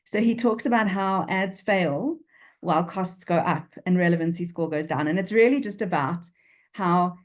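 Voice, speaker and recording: A woman's voice: on the low side, darkish tone. A woman in her forties with a Durban South African accent, chatting frankly in an interview over a video call, often restarting her sentences. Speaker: freelance copywriter, guest. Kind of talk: casual interview chat.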